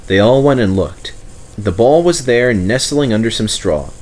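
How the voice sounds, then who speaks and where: A male storyteller narrates a children's book. His voice sits at a middle pitch and moves through it with plenty of animation. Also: animated, moderate pace, darkish tone, rough recording.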